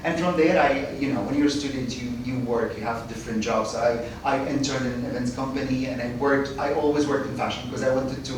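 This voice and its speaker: A man's voice: mid-range voice, steady tone, brisk, hardly any pauses. Chatty man in his thirties, echoey. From Kuwait. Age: 30s. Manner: chatty, restarts and stumbles, laughs often. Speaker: podcast guest. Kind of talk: casual interview chat. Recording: echoey room, distant mic, noisy.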